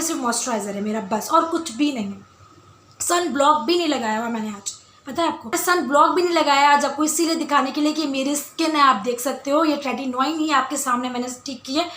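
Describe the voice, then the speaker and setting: A woman with a loud voice talking casually to her viewers as a beauty vlogger, emphatic and insistent, repeating phrases for stress. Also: high-pitched. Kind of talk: casual skincare Q&A talk to viewers. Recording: roomy, echoey indoor sound.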